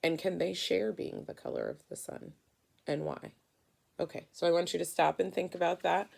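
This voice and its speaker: Low, creaky-voiced woman teacher reading aloud. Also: lively intonation, normal speed, darkish tone. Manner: instructive, questioning. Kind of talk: children's read-aloud lesson.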